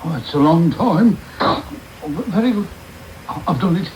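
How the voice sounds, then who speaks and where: An actor playing a self-serving middle-aged beadle, self-important yet ingratiating, in a radio drama. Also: male voice, on the high side, expressive, normal speed, darkish tone, close to an everyday voice. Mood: wary, grudging. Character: pompous, self-serving, obsequious male parish beadle. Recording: noisy off-air medium wave radio tape.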